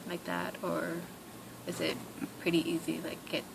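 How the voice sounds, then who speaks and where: A woman's voice: on the low side, level tone, normal speed, creaky. A young woman interviewing for an oral history project, asking hesitant questions full of fillers and "like". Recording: noisy recording with room hiss.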